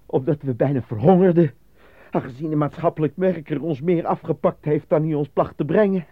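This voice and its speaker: A man's voice: on the high side, a little fast, vocal fry, no echo. A man playing a desperate, embittered prisoner under questioning in a radio drama, protesting his innocence with weary emotion. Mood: desperate, bitter. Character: desperate, embittered male actor being interrogated, pleading innocence. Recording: dry, phone-like narrowband radio play recording.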